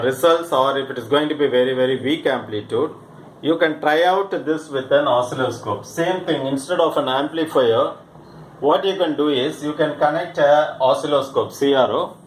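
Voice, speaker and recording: Male science instructor, unhurried and halting step-by-step explainer, repeats himself; reverberant room, slight background noise. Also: a little high. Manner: halting, pausing, repeats phrases. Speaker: physics teacher. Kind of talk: explanatory demonstration lesson.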